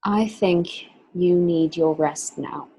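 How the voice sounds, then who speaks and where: A soothing but guarded Roman imperial sister, played by a woman. Her voice is husky and a little low, in a radio drama reading. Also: slow pace, dark tone, exaggerated voice.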